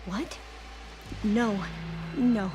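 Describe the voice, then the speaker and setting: Young female scholar, flustered, eager, hesitant, medium pitch, music underneath. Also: extremely slow. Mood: nervous, flustered. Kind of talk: video game cutscene acting. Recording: music behind the voice.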